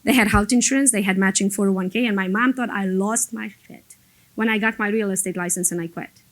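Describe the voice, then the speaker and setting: A woman's voice: mid-range voice, fairly even intonation, a little fast. A woman, speaking as a motivational business coach to a group in a blunt, emphatic, informal storytelling way. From abroad, emigrated to the United States as an adult. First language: not English. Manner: blunt, emphatic, conversational storytelling with mild swearing.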